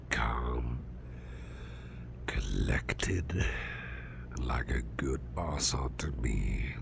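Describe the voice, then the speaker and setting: Casting: male actor as big grumpy boss for ASMR roleplay, extremely low gravelly murmur, halting and restrained, clear background noise. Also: full character voice. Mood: self-calming. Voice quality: very deep, gravelly, breathy near-whisper. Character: big, strong grumpy male boss trying to stay calm. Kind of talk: whispered ASMR roleplay, personal attention.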